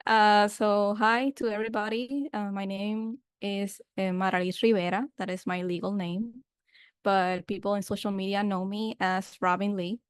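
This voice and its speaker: Young puerto rican woman on a video panel, earnest, lots of ums, smooth clear voice, dry sound. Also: mid-range voice, steady tone, moderate pace, no room sound. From Puerto Rico. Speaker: panelist, freelance journalist. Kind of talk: online panel introduction.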